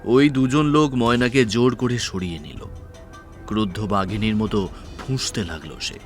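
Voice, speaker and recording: A man's voice: on the low side, normal speed. A man narrating a detective audio story in a dramatic, scripted storytelling style. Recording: music behind the voice.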